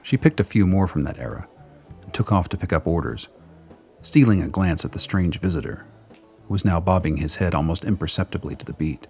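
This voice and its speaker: A man's voice: deep voice, animated, a little fast, a little mellow, soft background music. A man reading scripted third-person narration for a radio play.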